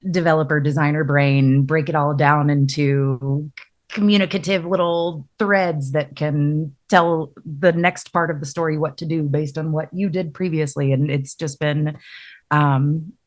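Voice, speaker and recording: A woman's voice: low voice, fairly even intonation, a little slow. A woman podcast host talking conversationally as she explains and puts questions to a guest. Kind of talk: conversational interview talk.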